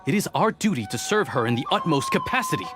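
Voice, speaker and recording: A man's voice: on the high side, huge pitch swings, quick pace, music in the background. A man playing a flustered, deferential guard in a video game, formal and hesitant as he eagerly serves. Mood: flustered, dutiful.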